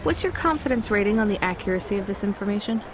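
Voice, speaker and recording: A woman's voice: medium pitch, lively intonation, fast, noisy background, close to an everyday voice. A woman playing a young courier driver in a video game, with a dry, skeptical, understated delivery. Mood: dry, curious. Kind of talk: naturalistic scripted game acting.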